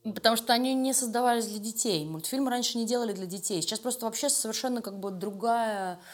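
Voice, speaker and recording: A woman's voice: a little high, fast. A Russian woman giving conversational interview answers in an emphatic, colloquial and enthusiastic way. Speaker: animation studio creative director, interview guest.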